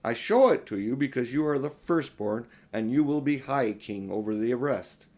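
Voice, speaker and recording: A man's voice: mid-range voice, expressive, moderate pace, darkish tone, natural voice. A man voicing a majestic lion king in a storybook, speaking in a stately, formal and commanding manner. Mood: solemn.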